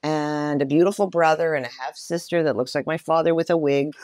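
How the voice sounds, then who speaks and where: A woman's voice: low-pitched, steady tone, a little fast, darkish tone, no room sound. A middle-aged woman speaking earnestly and reflectively in a casual interview, often affirming what she hears. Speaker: podcast guest, performer and mentor.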